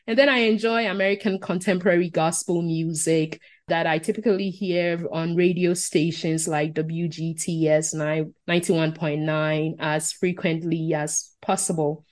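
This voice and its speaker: A woman's voice: low voice, little pitch movement, normal speed, few pauses. A woman, a Twi speaker, giving long, earnest answers as a podcast guest. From Ghana. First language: Asante Twi. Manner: earnest, detailed listing. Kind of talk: podcast interview.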